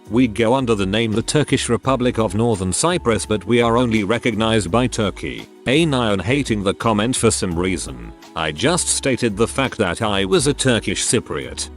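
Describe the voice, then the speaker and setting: Man, lowish voice, measured story narration, faint music behind. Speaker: narrator reading online posts. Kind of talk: scripted narration.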